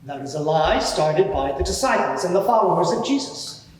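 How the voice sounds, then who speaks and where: A man playing a haughty, evasive high priest on the witness stand in a live courtroom drama, speaking formally and emphatically in his own defence. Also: expressive, moderate pace. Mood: defiant, self-justifying. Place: large reverberant courtroom, live event. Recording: very echoey hall, distant microphone.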